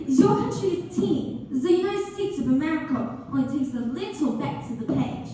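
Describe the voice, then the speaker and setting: A woman's voice: sits high, animated, normal speed, mellow. A woman playing a stubborn, smug little French girl in a stage read-through. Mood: smug, insistent. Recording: echoey room, distant mic, faint noise.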